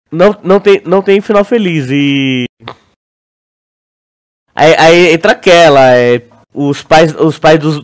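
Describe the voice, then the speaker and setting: Young Brazilian male co-host, higher-pitched voice, informal and halting, slangy casual chat. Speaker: podcast co-host.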